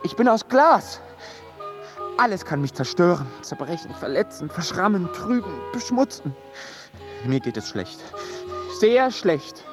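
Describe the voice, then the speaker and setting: An actor playing a deluded, fearful man who believes he is made of glass, speaking in halting, theatrical radio drama style with anguished repetitions. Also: high-pitched, sing-song, music in the background. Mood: fearful, plaintive.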